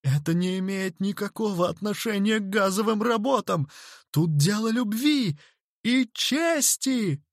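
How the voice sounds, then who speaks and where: Storybook coward played by a man: high, shrill, whining, full of spluttering self-importance. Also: animated, moderate pace, fairly bright tone, dry recording, studio quality. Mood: indignant, pompous.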